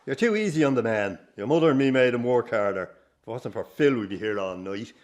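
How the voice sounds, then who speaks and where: A man's voice: mid-range voice, expressive, fast, darkish tone, lo-fi sound, close to an everyday voice. An actor playing a bitter, stubborn old Irish circus father, grumbling bluntly in a radio drama. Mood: grumbling, scornful.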